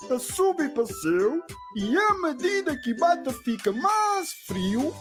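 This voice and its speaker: A man voicing a playful cartoon science tutor, with a Cape Verdean accent and a sing-song, deliberate teaching delivery. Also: extremely high pitch, big pitch swings, music in the background, heavily acted. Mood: instructive. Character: cartoon male science tutor Tuti, slow, playful, explanatory. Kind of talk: children's educational cartoon.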